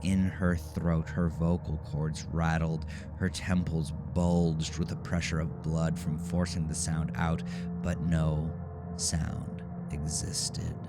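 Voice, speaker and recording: Deep voiced guy narrating horror, slow and dramatic, music behind him. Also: flat delivery. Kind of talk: scripted horror story narration.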